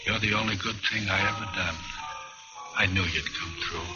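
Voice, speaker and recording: An actor playing a washed-up older American drunk on the run, rambling and wheedling, in an old-time radio noir drama. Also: male voice, lowish voice, normal speed, natural voice. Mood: wistful pleading. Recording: dull old radio recording. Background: loud music.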